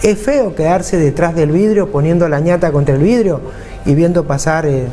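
Man, 40s, argentine spanish accent, earnest, repetitive emphasis, mellow tone, TV studio, faint hum. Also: on the high side, moderate pace. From San Juan, Argentina. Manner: earnest, repetitive for emphasis, speaks with his hands. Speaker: mayor and vice-governor candidate. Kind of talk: political interview answers. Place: TV studio, seated at a table.

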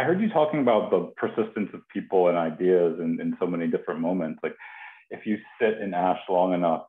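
A man in his thirties or forties hosting a poetry reading informally, speaking on a headset over a video call from a kitchen. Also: low-pitched. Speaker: host of a poetry reading series. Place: kitchen, indoors. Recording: roomy, tinny video-call audio.

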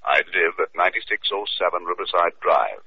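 An actor playing a formal, urgent art collector in an old radio drama, polite and insistent. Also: male voice, low voice, animated, fairly quick, vocal fry, husky, exaggerated voice. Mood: urgent, guarded. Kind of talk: 1940s radio detective drama acting. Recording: muffled, noisy old radio broadcast.

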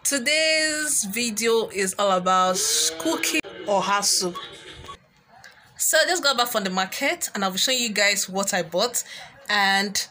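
A woman's voice: mid-range voice, animated, a little slow, bright, forceful tone, slight background noise. A young woman with a Nigerian accent chatting casually to viewers as a cooking vlogger, recorded at home on a phone. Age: young adult. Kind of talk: casual vlog chat to viewers. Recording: music over the voice.

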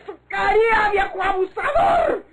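A woman's voice: very high voice, lively intonation, a little slow, shouting, full character voice. An actress playing a frightened young rural Mexican woman, fiery when cornered, in old radio drama style. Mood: terrified, furious. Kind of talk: golden-age Mexican radio drama acting. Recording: noisy old radio recording.

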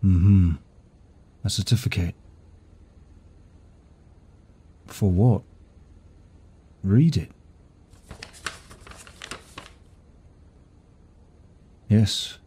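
Devoted CEO boyfriend played by a male actor, dark mellow tone, very slow and intimate ASMR roleplay. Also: sits low, breathy edge, exaggerated voice. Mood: tender, teasing. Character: devoted, secretive male CEO romancing his secretary. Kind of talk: intimate ASMR roleplay acting, one side of a dialogue.

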